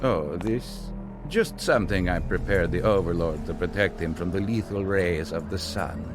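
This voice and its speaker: An actor playing a suave, sinister vampire grand inquisitor in a video game, with pompous, theatrical delivery. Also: male voice, deep voice, normal speed, music in the background, close to an everyday voice. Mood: smug. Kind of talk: video game villain acting.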